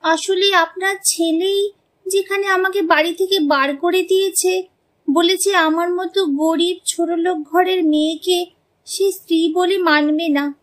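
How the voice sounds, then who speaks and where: Woman acting a high-voiced, determined young bride. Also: steady tone, a little slow. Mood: hurt, resolute. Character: proud, polite, determined young village bride turned professional. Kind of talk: animated story dialogue.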